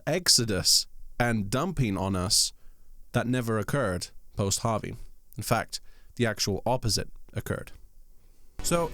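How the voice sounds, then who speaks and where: A man from London with a mixed English, Irish and American accent, presenting scripted vlog commentary with rhetorical questions and deliberate pauses. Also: mid-range voice, fairly bright tone. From London, England. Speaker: host of a crypto video channel.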